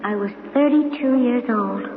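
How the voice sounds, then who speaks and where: An actress in a radio drama playing a murdered woman's unforgiving, eerily detached ghost, speaking in a measured, insistent way. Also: fairly high pitch, lightly acted. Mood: accusing, unforgiving. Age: 30s. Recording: tinny old radio recording, music.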